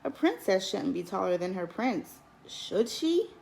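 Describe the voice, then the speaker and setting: A woman teacher reading a picture book aloud in an expressive, lilting storytelling manner. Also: mid-range voice, measured pace, vocal fry, slight background noise, poor audio. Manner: expressive, lilting storytelling with lifts on key words.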